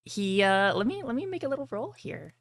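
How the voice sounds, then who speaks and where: A woman's voice: medium pitch, big pitch swings, brisk, dry recording, studio quality. A woman casually improvising narration as game master of a tabletop roleplaying game.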